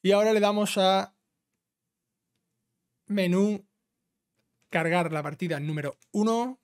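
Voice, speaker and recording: A man's voice: sits high, takes it slowly. A man with an accent from Spain giving an informal, instructional step-by-step video game walkthrough. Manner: instructional, often ends phrases with a checking tag. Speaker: video game trophy guide host.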